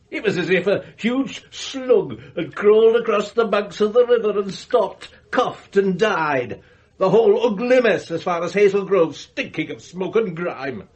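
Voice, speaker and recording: Slow, swooping, theatrical rich magnate. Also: male voice, a little high, a little mellow. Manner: rhapsodic, savouring, declamatory. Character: wealthy theatrical male magnate, morbidly eloquent about his grim past. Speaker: actor. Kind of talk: radio drama acting.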